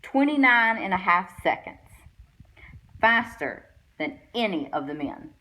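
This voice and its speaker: A woman's voice: medium pitch, very animated, a little slow, bright, forceful tone, rough recording. Woman reading a children's picture book aloud. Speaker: guest read-aloud reader.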